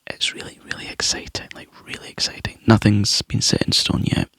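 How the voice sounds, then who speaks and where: A Scottish man chatting to viewers in a soft, rambling ASMR whisper, close to the mic. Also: brisk, no echo. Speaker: ASMR YouTuber.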